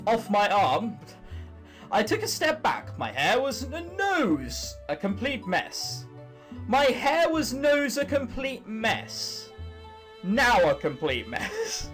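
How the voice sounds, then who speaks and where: A young man with an English accent and a high voice reads a story game aloud. His pitch swings widely as he moves between theatrical narration and sarcastic, sweary remarks. Faint music plays behind him. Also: a bit bright. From England. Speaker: host reading and commenting on a visual novel. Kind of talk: playful read-aloud with wry asides.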